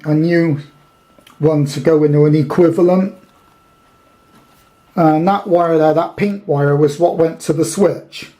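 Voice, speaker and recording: A man's voice: on the high side, warm tone. A man giving a casual, rambling, matter-of-fact walkthrough as a hobbyist repairer, unscripted and thinking aloud, recorded indoors on a handheld camera from behind it. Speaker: hobbyist radio repairer presenting his video. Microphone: handheld camera, speaker behind it.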